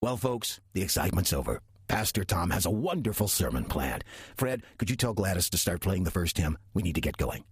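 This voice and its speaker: An actor playing a sly demon who passes as a folksy churchgoer, in a wry, calculated audio drama performance. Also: male voice, deep voice, big pitch swings, brisk, dry recording, clean studio sound, heavily acted. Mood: folksy, unruffled. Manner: smooth-talking, wry, calculated. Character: sly demon posing as a friendly small-town churchgoer. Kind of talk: audio drama acting and first-person narration.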